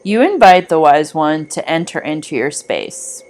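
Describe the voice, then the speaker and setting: A woman reading a scripted guided meditation in a measured, gently instructing manner. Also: low-pitched, very animated.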